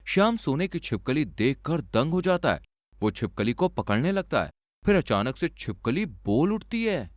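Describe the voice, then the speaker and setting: A male storyteller narrates a scripted cartoon tale. His medium-pitched voice moves with very lively intonation, and the recording is dry and close. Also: no room sound.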